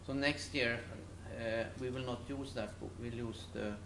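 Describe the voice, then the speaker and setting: A man lecturing informally to a class, speaking in a halting, hesitant way with non-native phrasing. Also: medium pitch, steady tone. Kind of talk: informal classroom talk to students. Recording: echoey room with clear background noise.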